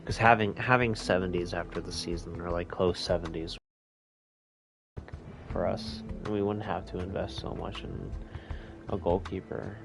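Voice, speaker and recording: American male gaming host, lowish voice, loose rambling delivery, laughs easily, flares into rants. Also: fairly even intonation, creaky, music in the background. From United States. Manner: rambling, chuckling, quick to rant. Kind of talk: casual gameplay commentary. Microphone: home recording setup.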